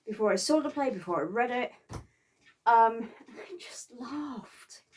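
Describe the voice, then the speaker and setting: A woman chatting casually to viewers as a vlogger, halting and sometimes stumbling over words. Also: high-pitched, a little mellow. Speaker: vlogger reviewing a theatre visit. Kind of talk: casual unscripted chat to viewers.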